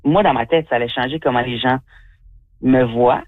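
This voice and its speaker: A woman's voice: rumbling low, normal speed. A woman from New Brunswick, a comedian chatting effusively in an interview, full of fillers. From New Brunswick, Canada. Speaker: comedian and guest. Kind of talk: interview answers. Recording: tinny phone-like line.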